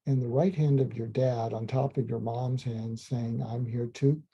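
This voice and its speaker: Gravelly, dark-voiced man telling a story. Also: mid-range voice, steady tone. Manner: slow, soothing, hypnotic delivery with many ums. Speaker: psychiatrist telling a clinical story. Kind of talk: calm storytelling monologue. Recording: dull lo-fi sound.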